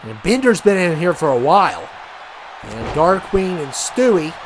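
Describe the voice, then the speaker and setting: A man casually commentating a wrestling video game match, rambling and chatty with many fillers, and he says he has a loud voice. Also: fairly high pitch, big pitch swings, measured pace, background noise. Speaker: gaming commentator.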